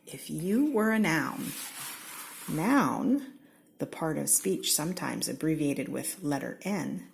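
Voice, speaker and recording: A woman from Seattle with an American accent, reading a children's picture book aloud in a slow, expressive way. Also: vocal fry. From Seattle, Washington. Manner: slow, expressive read-aloud with lively pitch swings. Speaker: storybook reader.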